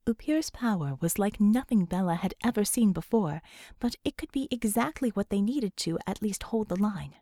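A woman's voice: medium pitch, expressive, moderate pace, dark tone, dry recording, studio quality. A woman reading scripted fiction as an audiobook narrator.

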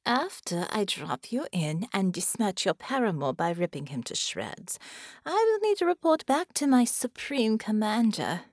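A woman narrator voicing a bored, haughty alien giant woman commander, speaking in a languid, hushed, condescending way. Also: medium pitch, wildly swooping pitch, unhurried, dry recording, clean studio sound, exaggerated voice. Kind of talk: audiobook narration.

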